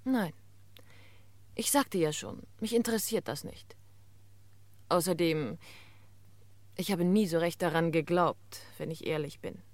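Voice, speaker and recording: An actress playing an unhappy, detached widow in a radio crime play, speaking formally and with measured wistfulness. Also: female voice, fairly low pitch, no echo, natural voice.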